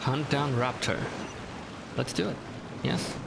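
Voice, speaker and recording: A man with a gravelly, creaky voice at a middling pitch commentates casually on a game. He is easygoing and talks himself through it, with clear noise and game ambience behind him. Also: slow pace. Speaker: gaming streamer. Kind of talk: casual let's play commentary.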